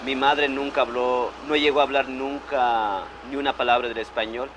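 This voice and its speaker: A man's voice: medium pitch, little pitch movement, normal speed, noisy background. A man with a Guatemalan accent telling his life story as testimony, plainly, repeating and rephrasing himself. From Quiché, Guatemala. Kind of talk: autobiographical testimony.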